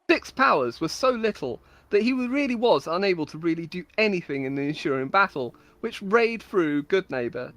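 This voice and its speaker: A woman storyteller giving a dramatic, mock-epic reading of comic fantasy stories, with amused asides. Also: lowish voice. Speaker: storyteller on a game server.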